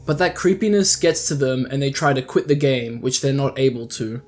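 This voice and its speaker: A man's voice: mid-range voice, animated, normal speed, a little mellow. A young adult man delivering casual scripted video commentary in an earnest, encouraging, informal way. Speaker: YouTube video narrator. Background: music.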